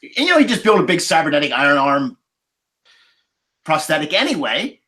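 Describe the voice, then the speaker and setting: Very animated male podcast moderator. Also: on the high side. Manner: halting, repeats words. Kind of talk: casual film discussion.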